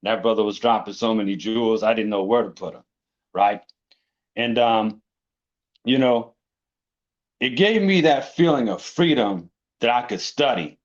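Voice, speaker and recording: A man, a podcast guest, telling his spiritual journey in a relaxed, storytelling way, often checking in with "you know" and "right". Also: on the low side, a little slow, long pauses, lo-fi sound. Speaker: interview guest. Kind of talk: casual conversation.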